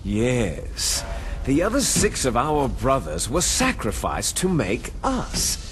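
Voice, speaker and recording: An actor playing a bitter, gloating villainous clone soldier in a video game, with a menacing, taunting, theatrical delivery. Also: male voice, mid-range voice, wildly swooping pitch, takes it slowly, faint music behind. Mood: grim, revealing. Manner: menacing, taunting, grandiose monologuing. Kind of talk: dramatic video game cutscene acting.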